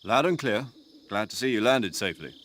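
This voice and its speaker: A man's voice: fairly low pitch, expressive, fairly quick, noisy background, lightly acted. A man playing a chatty military commander. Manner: talkative, earnest, briefing tone. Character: brisk male military commander on radio. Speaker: mission commander on radio. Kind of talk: video game cutscene acting.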